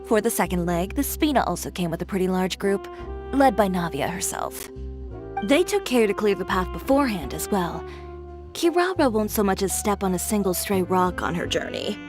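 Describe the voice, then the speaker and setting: An actress playing a poised, businesslike adult fashion designer with a composed, dry manner. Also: female voice, mid-range voice, sing-song, normal speed, lightly acted. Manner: composed, dry, matter-of-fact. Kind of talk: video game voice acting. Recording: game audio with loud music.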